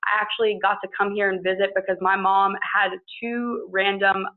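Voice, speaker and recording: A woman's voice: medium pitch, little pitch movement, moderate pace, narrow, tinny audio. A woman in her early twenties with an American accent, speaking as a student panelist in an earnest, fluent, chatty way. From Kentucky, United States. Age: early 20s. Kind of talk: conversational personal introduction at a panel.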